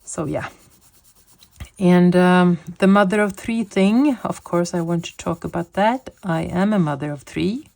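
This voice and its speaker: Swedish-born woman almost 60, second language english, low gravelly voice, rambly chatty vlog talk. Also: a little slow, a little mellow. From Sweden. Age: 50s. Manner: chatty, rambling, searching for words. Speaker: coloring vlogger. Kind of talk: casual talk while coloring.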